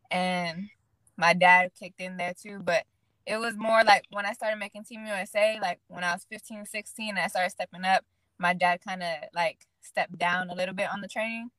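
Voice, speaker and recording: A woman's voice: lowish voice, dry recording. A young woman from Illinois, a pro boxer chatting casually as a podcast guest, often saying "like". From Illinois, USA. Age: young adult. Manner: relaxed, fills speech with "like". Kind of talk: casual interview chat.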